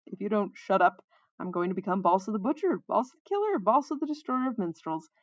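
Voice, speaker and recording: Lady doing a fed up warrior woman from a story, dry n threatening, lively pitch, muffled mic. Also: a little fast, dry recording, close to an everyday voice. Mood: exasperated. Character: gruff, sardonic warrior woman, easily irritated.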